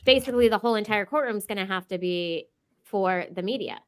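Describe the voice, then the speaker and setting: A woman's voice: medium pitch, fairly quick. An American woman chatting casually as a panelist on a livestream. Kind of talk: casual chat.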